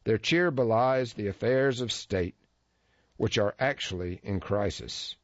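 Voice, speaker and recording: A man narrates a first-person feature story with a wry, dry humor. His voice sits on the low side. The recording is dull and lo-fi, with a close, dry sound and no room echo. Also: unhurried.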